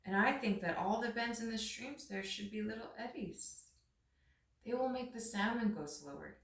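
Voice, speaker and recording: A woman's voice: fairly high pitch, moderate pace, voice pushed up, lightly acted. Woman reading as an emphatic wise raven, echoey. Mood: firm, reasoning. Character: wise, reasoning male raven giving his opinion. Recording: roomy echo, distant microphone.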